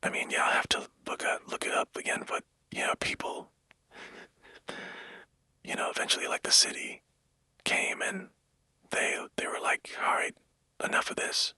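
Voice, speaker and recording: A man speaking in a breathy, unvoiced whisper, rambling casually and hesitantly in a soft ASMR-like monologue. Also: moderate pace, long pauses, no room sound.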